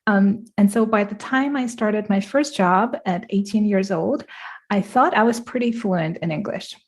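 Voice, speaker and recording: A middle-aged woman from Russia whose first language is Russian, co-hosting a podcast. She talks in an upbeat, chatty way and laughs often while she tells her stories. Her voice sits at an ordinary pitch. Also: a little slow. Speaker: podcast co-host. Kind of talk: live podcast chat, storytelling.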